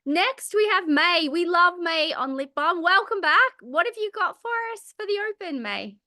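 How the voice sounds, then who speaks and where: A woman's voice: very high-pitched, animated, normal speed, sharp tone, no echo, studio quality. A woman hosting a livestream reading, enthusiastically welcoming the next reader over a video call. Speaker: host of reading series.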